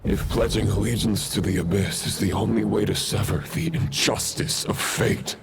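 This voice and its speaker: A gravelly, grim Abyss-sworn warrior. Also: male voice, on the low side, background noise. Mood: defiant. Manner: slow, solemn and defiant. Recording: loud game ambience behind.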